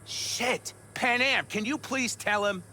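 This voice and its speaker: Sharp, high-voiced exasperated man. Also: lively intonation, fairly quick, a little hiss or hum. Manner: exasperated, confrontational. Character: frustrated, hot-headed nomad comrade, pleading.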